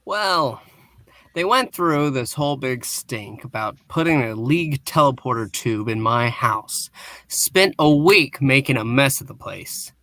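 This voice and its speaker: A man plays a doubtful family-man superhero in a comic book reading. He talks slowly in a bright, forward voice, casual and grumbling, recorded close and dry. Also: medium pitch, lively intonation, no echo. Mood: grumbling, sheepish.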